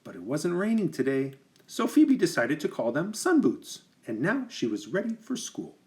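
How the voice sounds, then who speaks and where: A man narrates a children's picture book in an expressive, teacherly way. His pitch moves up and down with lots of animation, and he places careful emphasis so that young listeners can follow. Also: normal speed.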